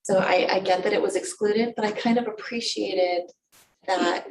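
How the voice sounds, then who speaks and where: Gravelly rambling woman in a boardroom. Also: mid-range voice, fairly even intonation, normal speed. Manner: rambling, trailing off. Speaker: school board member. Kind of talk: board meeting discussion. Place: large meeting room. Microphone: desk microphone from afar. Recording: echoey room, distant mic, faint hiss.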